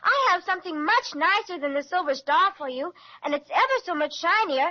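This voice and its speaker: A woman's voice: extremely high pitch, lively intonation, a little fast. An actress voicing a sweet, earnest young girl in a 1930s radio serial. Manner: earnest, polite. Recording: muffled 1930s radio transcription, faint hiss.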